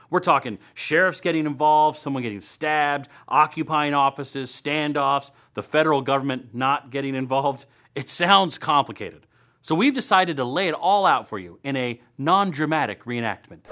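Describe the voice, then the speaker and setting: A man's voice: fairly high pitch, fairly bright tone. A man hosting a local web series, reading upbeat scripted news and event segments in a playful, tongue-in-cheek way.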